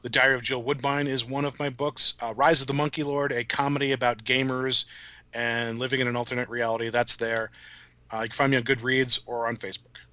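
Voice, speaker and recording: A man's voice: medium pitch, little pitch movement, brisk, pushed and bright, no room sound. A man speaking as a guest writer in a casual podcast discussion, articulate and enthusiastic. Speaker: guest, writer of audio dramas.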